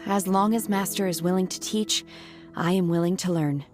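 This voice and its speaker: A woman voicing a calm, detached young woman disciple who speaks in a cool, matter-of-fact way. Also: lowish voice, moderate pace, music in the background, natural voice.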